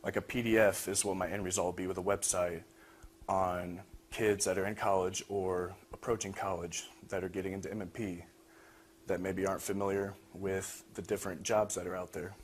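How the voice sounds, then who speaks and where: A man's voice: deep voice, little pitch movement, moderate pace. A young man giving an informal project talk as a student presenter. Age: young adult. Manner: frequent throat clearing. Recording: noisy room recording.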